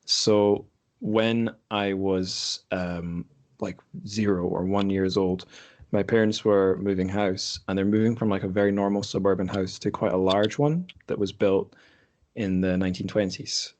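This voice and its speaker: Low-voiced man telling stories casually. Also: flat delivery, measured pace, darkish tone. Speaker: podcast host. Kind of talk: casual chat and personal storytelling.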